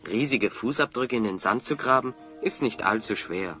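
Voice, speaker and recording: A man's voice: lowish voice, lively intonation, normal speed, pushed and bright, faint music behind. A man acting a clever, confident hero in an audio drama, articulate and assertive as he reasons things out. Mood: confident, accusing. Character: clever, confident male hero explaining a scheme.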